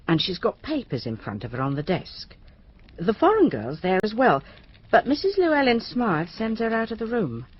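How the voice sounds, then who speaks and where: An actress playing a deferential, anxious widowed cleaner, confiding hesitantly in a radio drama. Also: female voice, fairly low pitch, breathy edge, lo-fi sound, full character voice.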